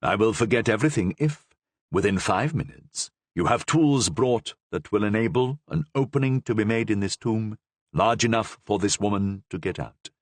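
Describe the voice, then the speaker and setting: A man voicing a stern, commanding cardinal who speaks in a grave, measured way. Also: fairly low pitch, dry recording, natural voice.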